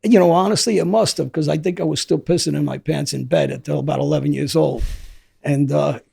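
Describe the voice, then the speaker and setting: Gravelly hoarse New man. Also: on the high side, fairly even intonation, brisk, a little mellow. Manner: rambling, repeats words and restarts often. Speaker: interview guest. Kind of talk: candid storytelling.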